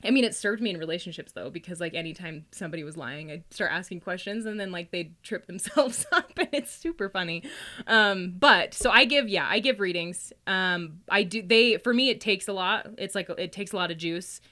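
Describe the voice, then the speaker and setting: A woman's voice: on the low side, animated, fairly quick, pushed and bright. A woman guest on a podcast telling stories casually and colloquially, peppering her talk with "like" and often giggling. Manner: giggly, laughs often while talking; colloquial, heavy use of "like". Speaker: podcast guest, psychic reader. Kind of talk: casual podcast chat, animated storytelling.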